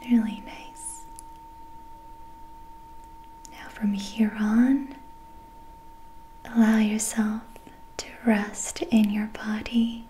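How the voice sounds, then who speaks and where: A young adult woman guiding a relaxation in a soft, breathy ASMR whisper, soothing, gentle and unhurried. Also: fairly high pitch, poor audio. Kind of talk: whispered ASMR guided meditation. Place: small dim quiet room indoors.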